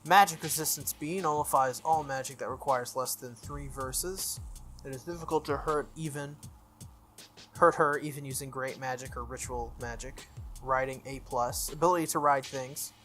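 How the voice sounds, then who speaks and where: Playthrough commentator, mid-pitched voice, casual read-aloud, slightly halting, background music. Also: fairly even intonation, a bit bright. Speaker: video game player reading aloud. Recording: music behind the voice. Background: background game music.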